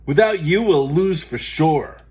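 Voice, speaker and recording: A man voicing a cheeky, excitable young schoolboy in a storybook, given to exclaiming. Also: fairly high pitch, measured pace, voice pushed up. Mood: dismayed.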